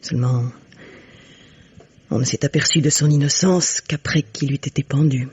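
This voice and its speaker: An actress playing a resolute, protective widow in a French radio mystery, husky and earnest, on a dull old recording. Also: female voice, very deep voice, moderate pace, darkish tone, no room sound, heavily acted. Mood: grave, confiding.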